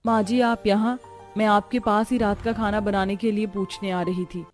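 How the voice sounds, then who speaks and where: A woman's voice: mid-range voice, level tone, moderate pace, soft background music, natural voice. Woman playing plaintive, overworked young daughter-in-law. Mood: polite pleading. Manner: plaintive, polite to elders. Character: weary, put-upon young daughter-in-law, whining about kitchen drudgery. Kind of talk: Hindi family cartoon voice acting.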